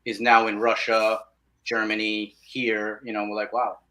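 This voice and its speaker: A man's voice: on the low side, completely flat delivery, unhurried, sharp tone. A man chatting informally as an independent film guest, speaking in short bursts. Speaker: independent filmmaker, podcast guest. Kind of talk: casual chat.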